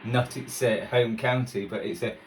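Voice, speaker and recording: A man's voice: medium pitch, moderate pace, slight background noise. A man chatting casually through a rambling hobby vlog, self-deprecating, with muttered asides. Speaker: radio hobbyist presenter. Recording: distant mic, radio playing behind.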